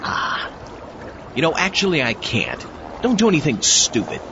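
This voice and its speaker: A man's voice: on the high side, sing-song, moderate pace, noisy background. A man hosting a comedy audio show in character. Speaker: host. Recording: muffled, faint background ambience.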